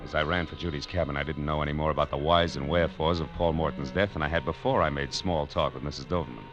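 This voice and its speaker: Wry American private eye, deep-pitched, tough slangy 1940s radio delivery, muffled vintage broadcast. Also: male voice, fairly even intonation, fast talker, loud music behind the voice. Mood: hard-boiled narration. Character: wry, tough male private detective narrating his case. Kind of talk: 1940s radio drama acting, hard-boiled narration. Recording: dull old radio broadcast recording.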